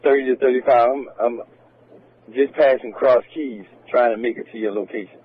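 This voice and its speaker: Male officer, middle-pitched, radio traffic, thin tinny transmission. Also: fairly even intonation, moderate pace, husky. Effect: police radio.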